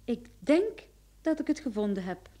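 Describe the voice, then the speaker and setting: A woman playing an earnest, quick-witted young sleuth in an old Dutch radio drama, speaking with dramatic expression. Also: fairly high pitch, sing-song, warm tone. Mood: keen, deducing.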